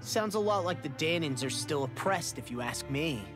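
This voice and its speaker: An actor voicing a casual, tactful young rebel companion in a video game, informal and a little hesitant. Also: male voice, on the high side, animated, moderate pace, over music, lightly acted. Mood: skeptical. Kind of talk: video game cutscene acting.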